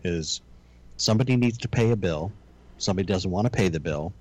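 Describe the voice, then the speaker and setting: A man with a low voice co-hosts a comedy podcast. He cracks jokes and jumps in with quick reactions. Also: a little mellow. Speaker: podcast co-host. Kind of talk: casual comedy chat.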